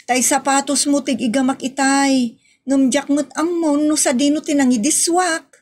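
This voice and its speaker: A woman's voice: sits high, steady tone, moderate pace, darkish tone, close to an everyday voice. A woman acting the part of a forgetful, busy housewife in a homemade comedy skit, exasperated and muttering to herself. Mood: flustered.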